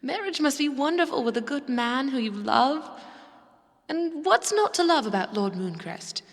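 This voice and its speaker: A woman playing a devoted, naive young lowborn handmaiden in a fantasy audio drama, earnest and soothing. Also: animated, normal speed, in a large hall. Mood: shy, earnest. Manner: soothing, earnest, plain-spoken.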